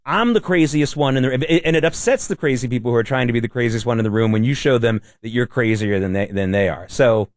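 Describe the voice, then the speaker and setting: A man's voice: medium pitch, quick pace, few pauses, no echo, clean studio sound. A man co-hosting a talk radio show, blunt and emphatic. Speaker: radio co-host. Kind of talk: casual talk radio chat.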